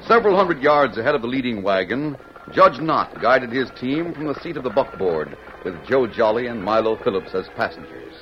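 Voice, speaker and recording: A man's voice: mid-range voice, very animated, moderate pace. A man narrating an old-time radio western in a formal, dramatic storytelling manner. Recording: muffled vintage radio, music underneath.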